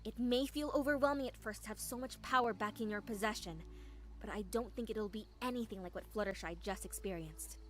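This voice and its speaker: A woman's voice: medium pitch, fairly quick, natural voice. A woman playing a sensible, reassuring female pony leader, composed and taking charge, in cartoon drama style. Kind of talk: animated fan audio drama acting.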